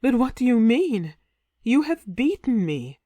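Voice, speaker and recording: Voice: mid-range voice, sing-song, unhurried, dark tone, dry recording, voice pushed up. A narrator voicing a passionate ancient warrior queen, pleading and theatrical, in a storybook reading. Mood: eager. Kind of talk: audiobook character voice.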